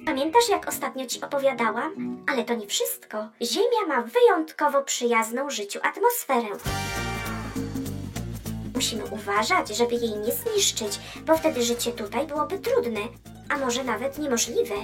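A woman voicing a chatty, cheerful female space probe who explains science in a children's educational cartoon, teasing and lively. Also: high voice, moderate pace. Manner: bubbly, lively, playfully teasing. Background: background music.

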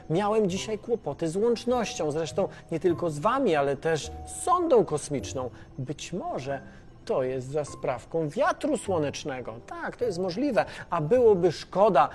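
A man hosting a children's science series in a playful scripted way, conversational and talking straight to his listeners. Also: high voice. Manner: animated, conversational, addresses listeners directly.

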